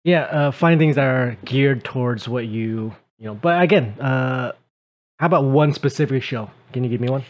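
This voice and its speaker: Relaxed chatty man with fillers. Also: mid-range voice, normal speed. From Taiwan. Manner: relaxed, conversational, with many fillers. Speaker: co-host, English teacher. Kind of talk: casual advice chat.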